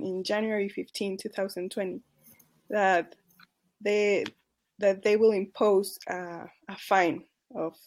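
A woman's voice: mid-range voice, darkish tone. A woman with a Mexican accent, a podcast guest earnestly telling a case story and often stopping to correct herself. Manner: earnest, careful, with restarts and self-corrections. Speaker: charity advocacy worker.

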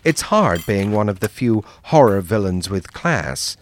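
An actor playing a cultured cannibal doctor villain who leads a villains' support group, speaking in a soft, measured way. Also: male voice, on the low side, sing-song, slight background noise.

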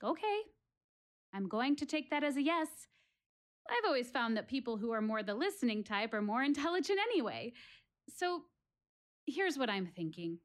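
Actress as searching, lonely woman in a solo stage play. High voice, confiding, conversational, earnest. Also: sing-song, normal speed, long pauses. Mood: wry, confiding. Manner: conversational, confiding, self-deprecating. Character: earnest, searching woman praying aloud, lonely, self-questioning.

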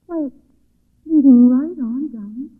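A woman's voice: high voice, slow, slightly rough, heavily acted. An actress playing a curious, polite young woman drawn into danger in a 1940s radio drama, hesitant and wondering. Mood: curious, uneasy. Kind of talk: 1940s radio drama acting and inner monologue. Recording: narrow, phone-like old radio recording.

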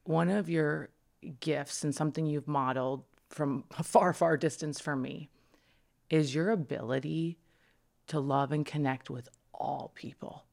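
A podcast host chatting in an interview, gushing with warm affirmations and often restarting sentences. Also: medium pitch, fairly even intonation, a little slow, vocal fry. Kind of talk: casual interview chat.

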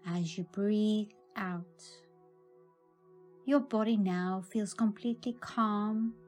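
A woman narrating a scripted guided meditation in a calm, soothing, measured manner. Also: medium pitch.

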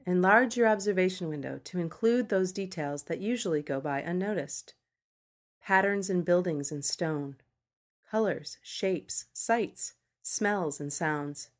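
Low-pitched woman narrating a meditation podcast. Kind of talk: scripted narration of a meditation article.

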